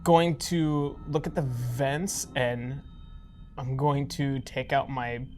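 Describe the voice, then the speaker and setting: A woman's voice: a bass voice, steady tone, slow pace, darkish tone. A woman playing a tabletop role-playing game in casual table chat.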